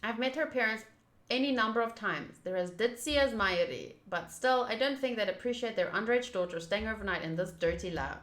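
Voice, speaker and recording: Bright-voiced woman reading a game aloud. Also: medium pitch, lively intonation, fairly quick, reverberant. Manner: reacts aloud, shifting between reading and chatty asides. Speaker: streamer playing and reading aloud a visual novel. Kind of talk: playthrough commentary, reading on-screen text aloud.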